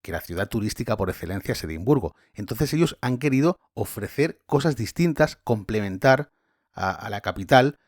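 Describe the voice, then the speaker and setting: A man's voice: on the low side, lively intonation, moderate pace, dry recording, clean studio sound. A man hosting a travel chat, a tour guide who talks casually and puts questions to his guest. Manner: chatty, asks guest questions. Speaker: podcast host and tour guide.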